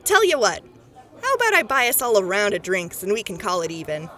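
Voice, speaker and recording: A woman's voice: medium pitch, wildly swooping pitch, brisk, sharp tone. A woman playing a bubbly, gossipy wasteland clerk. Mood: cheerful. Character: cheerful woman clerk processing paperwork. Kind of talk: audio drama acting.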